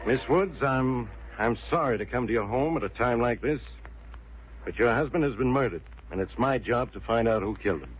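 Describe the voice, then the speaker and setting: An actor playing a brash, sarcastic police inspector in 1940s radio style, blustery and insistent. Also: male voice, mid-range voice, expressive, normal speed, close to an everyday voice. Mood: pressing. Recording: dull, hissy old radio recording.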